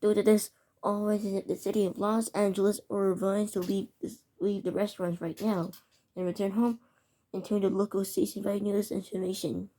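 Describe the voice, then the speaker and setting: A woman's voice: mid-range voice, flat delivery, mellow, poor audio. A woman reading a homemade emergency alert broadcast, stumbling and restarting often. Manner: halting, stumbling over words. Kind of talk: amateur mock emergency broadcast narration.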